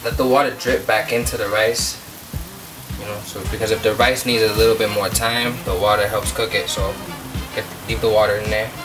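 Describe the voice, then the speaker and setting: A Puerto Rican man hosting a home cooking show, walking through a recipe step by step in a relaxed, chatty way. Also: fairly low pitch, fairly quick. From Puerto Rico. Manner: laid-back, chatty step-by-step instructions. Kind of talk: casual cooking demonstration. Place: home kitchen. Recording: distant mic under loud music.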